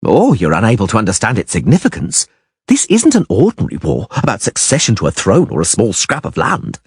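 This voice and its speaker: A man's voice: on the low side, wildly swooping pitch, brisk, slightly rough, no room sound, studio quality, full character voice. A man voicing a panicked, talkative young male bard who pleads in a frantic, breathless way. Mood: grave. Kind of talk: audiobook character voice.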